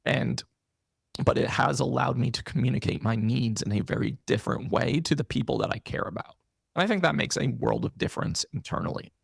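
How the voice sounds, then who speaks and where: A man's voice: mid-range voice, animated, brisk, gravelly, slightly rough, no echo, clean studio sound. A man hosting a podcast, talking in an earnest, personal way and often checking in with "right?". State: briefly losing his voice. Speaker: podcast host. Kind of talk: casual interview conversation.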